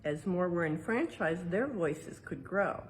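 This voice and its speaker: A woman reading a picture book aloud in a museum exhibit like an educator, pausing for casual explanations and checking in with little tag questions. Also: on the low side, lively intonation. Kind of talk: read-aloud storytime with casual explanatory asides.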